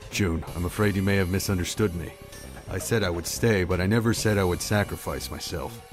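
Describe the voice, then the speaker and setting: A man playing a calm, courteous older gentleman in a game fan dub, speaking in a measured, formal way. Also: deep voice, music in the background, lightly acted. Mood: calm, firm.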